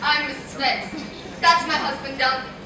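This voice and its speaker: A woman's voice: sits high, fairly even intonation, normal speed, close to an everyday voice. A young woman acting a harried wife who tends her injured husband in an amateur stage comedy. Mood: anxious, practical. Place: college auditorium stage, recorded from afar. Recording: echoey auditorium, distant mic, noisy.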